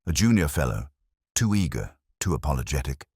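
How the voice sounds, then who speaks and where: An actor playing a world-weary, literate older police inspector, narrating his case in a measured, wry, reflective way. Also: a bass voice, big pitch swings, a little mellow, slightly rough, no echo, studio quality, heavily acted. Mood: brooding. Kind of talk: scripted first-person detective narration.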